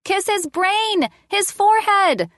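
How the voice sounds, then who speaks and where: A woman voicing an excitable, bossy, giggly little girl at play, squealing and eager, in a storybook. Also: very high-pitched, slow pace, no room sound, studio quality, pitched above the performer's own voice, full character voice. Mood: excited, urgent.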